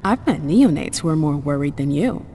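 A woman's voice: sits low, animated, moderate pace, dark tone, slight background noise. A woman playing a cool, world-weary vampire club owner in a video game, sardonic and self-assured.

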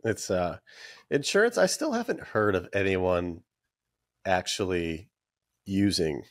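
A man's voice: deep voice, expressive, slow pace, dry recording, clean studio sound. A man in his thirties hosting a casual podcast interview, talking with many fillers and restarts. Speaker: podcast host. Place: small room with collectible shelves. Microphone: studio mic with headphones.

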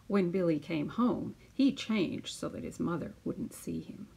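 A woman in her fifties, a teacher, speaking to pupils and reading a children's picture book aloud with deliberate, emphatic phrasing. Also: fairly low pitch, expressive, vocal fry, warm tone, breathy edge, low-quality recording. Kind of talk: children's storybook read-aloud.

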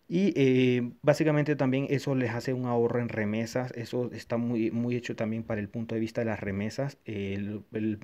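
A man's voice: fairly low pitch, mellow. Hesitant Honduran man reviewing an app. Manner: hesitant, many fillers and restarts. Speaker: tech reviewer. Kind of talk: screen-recorded review walkthrough.

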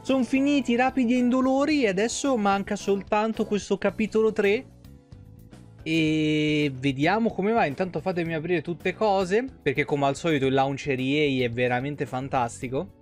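A man's voice: high-pitched, normal speed, soft background music. A young man, chatting casually on a game livestream in an informal, rambling, sweary way. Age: young adult. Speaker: video game streamer. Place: small room indoors at a streaming desk. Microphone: desk microphone close up, with headset.

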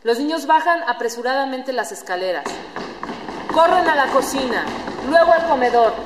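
A woman's voice: high voice, animated, normal speed. A woman reading scripted storytelling narration for a radio play. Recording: echoey hall with room noise.

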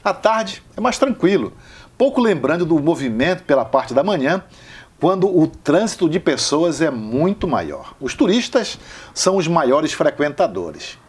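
Husky male travel narrator. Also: fairly high pitch, big pitch swings, measured pace.

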